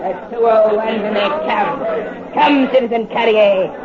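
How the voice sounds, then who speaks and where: A fanatical revolutionary officer. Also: male voice, sits high, a little slow, lo-fi sound. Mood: harsh. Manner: brusque, commanding. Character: zealous male revolutionary officer, harsh and fanatical. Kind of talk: 1930s radio drama acting. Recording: noisy old 1930s radio recording with crowd.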